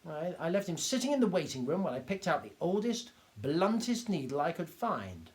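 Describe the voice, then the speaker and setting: A man voicing an excitable little village doctor who talks in a high, loud, indignant rush and trips over his words. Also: fairly quick. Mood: gleeful storytelling. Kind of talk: audiobook character voice.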